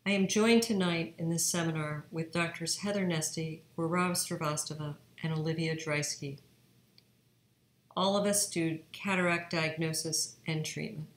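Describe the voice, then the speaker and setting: A woman in her fifties, an eye surgeon hosting a webinar, reading her presentation in a measured way. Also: low-pitched, fairly even intonation, echoey room. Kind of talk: read-aloud presentation narration.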